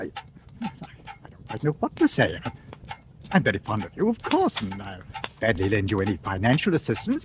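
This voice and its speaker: An actor playing a stuffy, evasive young English lord who stammers, in 1940s radio acting. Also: male voice, medium pitch. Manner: stammering, hesitant. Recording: hissy old radio broadcast.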